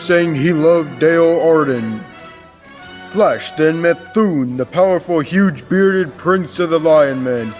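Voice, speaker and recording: A young man narrating an old-time radio adventure serial from a script. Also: on the high side. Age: teens to young adult.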